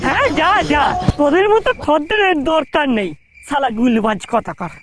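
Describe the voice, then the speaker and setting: A woman's voice: sits high, sing-song, normal speed, over music. A woman voicing a stubborn, mocking young male liquor-shop worker in a comic cartoon. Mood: scornful refusal. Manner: mocking, firm, sharp-tongued.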